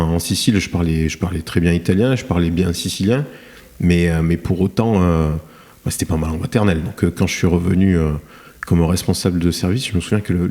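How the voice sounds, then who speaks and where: Middle-aged man, deep and warm, halting and reflective interview guest. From France. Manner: hesitant, many fillers and restarts. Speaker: guest, cement plant director. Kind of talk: podcast interview, reflective storytelling.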